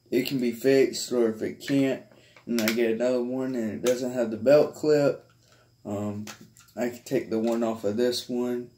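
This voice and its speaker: A man talks slowly and casually at a middling pitch, as a hobbyist vlogger would. He rambles and hesitates, often letting his sentences trail off, recorded on a handheld camera in a small bedroom. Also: warm tone, a little hiss or hum. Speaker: hobbyist vlogger showing a collection. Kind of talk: casual rambling chat to viewers. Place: small carpeted bedroom, indoors. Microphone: handheld phone or camera held by the speaker.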